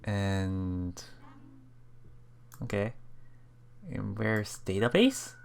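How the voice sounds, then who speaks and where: A let's play streamer reading game narration aloud haltingly and adding casual commentary. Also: low voice, steady tone, vocal fry, a little mellow, smooth.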